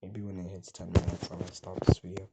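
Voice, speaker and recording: A young man with a strikingly deep, low voice and a gravelly fry. He rambles casually to the camera, and some words fall soft and mumbled. A loud noise floor with mouse clicks sits under the recording. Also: level tone, brisk. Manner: rambling, soft and mumbled at times, hard to hear. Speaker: gaming vlogger.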